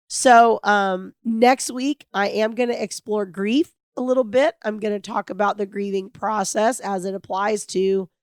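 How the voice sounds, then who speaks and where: A middle-aged woman hosting a podcast alone, talking in an earnest, reflective and hopeful way, her voice raspy from a cold. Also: mid-range voice, a little mellow, no room sound, studio quality. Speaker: podcast host. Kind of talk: heartfelt solo podcast monologue.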